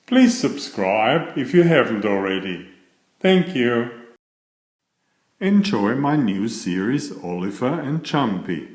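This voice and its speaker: A male narrator reads a children's story expressively. His pitch moves up and down a lot while his pace stays slow and deliberate. The recording has a noticeable room echo. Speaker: children's book reader. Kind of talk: storybook read-aloud narration. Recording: roomy, echoing voice recording.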